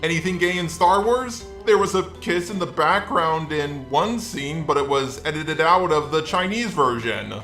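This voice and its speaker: A man's voice: a little high, animated, moderate pace, hardly any pauses, fairly bright tone, echoey room, faint music behind. A man's synthetic robot voice delivering scripted, opinionated video commentary in a sarcastic, sweary manner. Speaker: video essay host.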